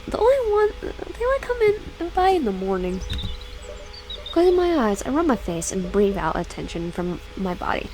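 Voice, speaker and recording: A woman's voice: a little high, low-quality recording. A young adult woman reading a horror visual novel aloud, stumbling and restarting often, then chatting to her viewers. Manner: halting reading with many restarts, chatty asides. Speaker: gaming YouTuber.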